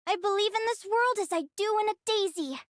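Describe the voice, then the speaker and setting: High-pitched, curious young explorer girl. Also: a little fast, no room sound. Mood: awed, confident. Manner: earnest, expressive, youthful delivery. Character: bright, curious young female explorer and fae researcher. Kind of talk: English video game character voicelines.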